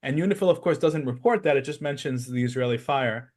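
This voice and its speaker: A man's voice: mid-range voice, fairly quick, a little mellow. A man discussing politics analytically as a podcast host, explaining his points step by step with emphasis.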